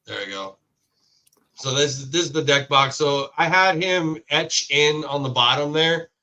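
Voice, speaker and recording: A man's voice: fairly high pitch, measured pace, sharp tone. A man from Hawaii, a podcast guest chatting casually in a laid-back, talkative way about his truck build. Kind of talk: casual chat.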